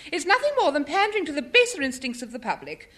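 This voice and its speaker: A tart, scolding Englishwoman in vintage radio comedy. Her voice is bright, cutting and fairly high, with lively swoops, on a slightly noisy old recording. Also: quick pace, heavily acted. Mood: disapproving. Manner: tart, mocking, disapproving. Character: prim, scolding, bossy woman who disapproves and teases. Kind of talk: 1950s British radio sitcom acting.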